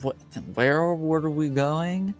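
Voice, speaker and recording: A man voicing a confused, stubborn and forgetful nobleman in a tabletop game, slow and puzzled. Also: a little high, faint music behind, pitched above the performer's own voice.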